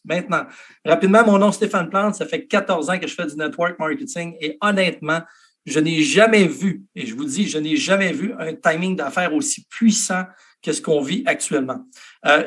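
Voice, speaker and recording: A man from Canada with a Quebec French accent, giving an energetic, filler-heavy motivational pitch on a video call. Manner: energetic, with many fillers and restarts. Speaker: network marketing speaker.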